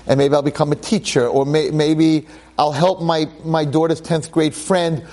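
A man's voice: a little high, little pitch movement, moderate pace, darkish tone. A man giving a Torah lecture to a class, emphatic and dramatic, repeating phrases and asking rhetorical questions. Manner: public speaker.

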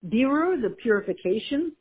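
Female Torah teacher, animated lecture style, slightly husky, tinny phone-line recording. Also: sits high, normal speed. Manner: lively, mixes Hebrew and Yiddish terms, searches for words. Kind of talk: Torah class explaining a text.

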